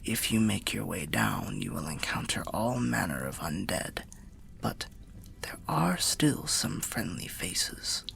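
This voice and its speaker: A man playing a weary, wry undead storyteller in an ASMR fantasy roleplay, confiding in a breathy, gravelly half-whisper with old-fashioned phrasing. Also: low-pitched, sing-song, slow pace, sharp tone, heavily acted. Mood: wry, musing.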